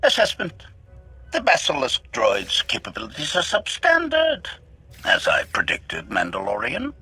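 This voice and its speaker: An actor playing an arrogant, sardonic assassin droid in a video game, with formal, clipped, condescending phrasing and a robotic filter. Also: male voice, mid-range voice, huge pitch swings, a little slow, pushed and bright, breathy edge, no echo, soft background music, full character voice. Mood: scornful. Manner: announces each sentence type, formal and clipped, condescending. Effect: robotic metallic voice filter.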